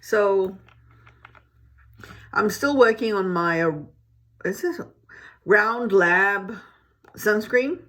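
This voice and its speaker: A woman's voice: medium pitch, animated, extremely slow, pauses often, fairly bright tone. A woman who grew up in Malaysia, chatting to camera at home in a rambling, casual way with many restarts. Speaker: beauty vlogger. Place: home, indoors.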